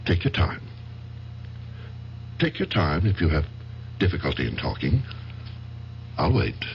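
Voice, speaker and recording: An actor playing a patient, formal psychiatrist, calm and probing, in an old-time radio drama. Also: male voice, low-pitched, unhurried, gravelly, exaggerated voice. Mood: measured, formal. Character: patient, measured male psychiatrist. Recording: dull, faintly noisy old radio recording.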